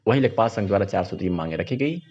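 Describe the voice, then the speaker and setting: A man's voice: lowish voice, animated, very fast, a little mellow, slightly rough. A man reads scripted regional news as a reporter. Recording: dull, muffled voice-over.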